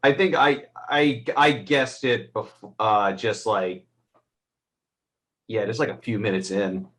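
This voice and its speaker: A man in a casual, unscripted film review, speaking haltingly and groping for his words. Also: fairly low pitch, steady tone, fairly bright tone.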